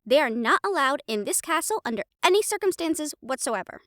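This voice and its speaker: A bubbly young royal in a fantasy audio drama, acted by a woman, with a very high, swooping voice. She is perky, girlish and a little scatterbrained. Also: big pitch swings, brisk, fairly bright tone, no room sound, clean studio sound. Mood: upbeat, assured. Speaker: character in fantasy audio roleplay. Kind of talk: dramatic audio roleplay acting.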